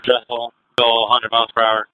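A man's voice: medium pitch, completely flat delivery, moderate pace, smooth, dry recording, muffled recording. A man working as a state patrol officer, giving terse, clipped reports over a police radio. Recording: noisy police scanner radio audio.

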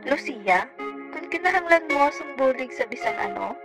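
Radio play teacher, female actor: concerned, reassuring, high-pitched, music underneath. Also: animated, moderate pace, gravelly. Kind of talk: amateur radio drama acting. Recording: music behind the voice.

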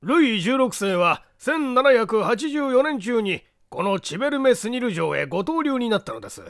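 A man acts out a genteel lord of an old castle in an audiobook. His voice is high and very lively in intonation as he formally unfolds old secrets. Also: dry recording, studio quality, full character voice. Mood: explanatory. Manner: formal, measured storytelling. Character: courteous male castle owner, explaining old secrets.

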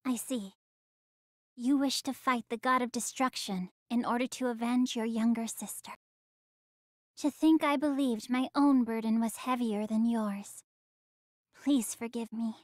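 A woman's voice: on the high side, fairly even intonation, measured pace, no echo, clean studio sound, close to an everyday voice. A woman voicing a proud, solemn demon teacher in a video game, with a formal, grave manner. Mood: solemn, remorseful. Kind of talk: anime-style video game voice acting.

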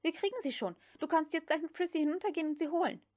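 A woman voicing a headstrong young Southern woman in an audiobook, giving curt, harsh orders in a sharp manner. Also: high-pitched, expressive, very fast, voice pushed up. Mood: curt, commanding. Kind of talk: audiobook character dialogue.

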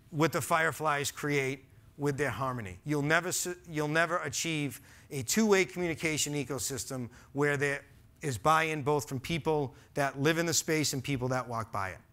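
A man's voice: on the high side, sharp tone. A man in his forties with a Boston accent, giving an earnest, emphatic talk on a stage with a headset mic. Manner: earnest, emphatic, gestures while speaking. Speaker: conference speaker. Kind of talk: stage presentation. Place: large dark auditorium stage.

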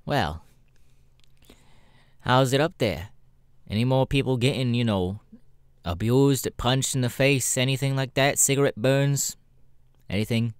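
A man's voice: medium pitch, a little slow, long pauses, clear, resonant tone, dry recording, studio quality, natural voice. A man voicing a jaded, sassy, foul-mouthed male spider demon with a sardonic, weary drawl in an ASMR audio roleplay. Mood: bitter, resigned. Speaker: voice actor, ASMR roleplay artist.

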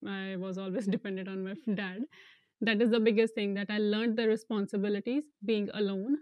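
A young woman from India, an international student, speaking earnestly in an interview with some halting restarts, on a lapel mic. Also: mid-range voice, level tone, no echo, muffled recording. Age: young adult. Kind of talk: casual interview talk.

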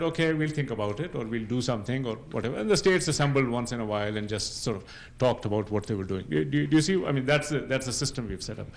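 A middle-aged man speaking unscripted on a panel, explaining in a measured way and often checking that listeners follow. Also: mid-range voice. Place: university lecture room, seated at a panel table.

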